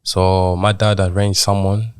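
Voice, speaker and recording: A man's voice: sits low, flat delivery, a little slow, clean tone, no echo, studio quality. A young man from Zimbabwe who grew up partly in England, talking casually as a podcast guest and using British street slang with frequent check-in tags. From Zimbabwe, moved to England in childhood. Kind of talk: casual personal storytelling in an interview.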